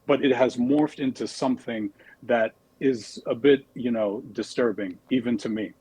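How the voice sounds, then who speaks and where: A man's voice: medium pitch, moderate pace, warm tone, breathy edge, no echo, poor audio. A young man from the United States, a debate guest who speaks politely and a bit hesitantly. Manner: polite, hesitant, uses fillers. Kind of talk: debate argument.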